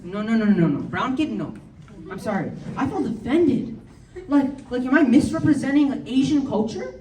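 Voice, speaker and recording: A woman's voice: fairly high pitch, animated, moderate pace, darkish tone, slight background noise. A teenage American girl performing a rambling, giggly amateur stand-up set with many likes and ums. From United States. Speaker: stand-up comedian. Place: large hall with audience. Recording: echoey hall, speaker far from mic, crowd behind.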